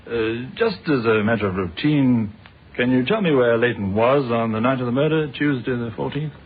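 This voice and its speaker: An actor playing a polite, probing British police inspector in a radio drama, with a measured, formal manner. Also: male voice, medium pitch, lively intonation, slight background noise, natural voice. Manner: measured, courteous, formal questioning. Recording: thin phone-like radio sound, faint music.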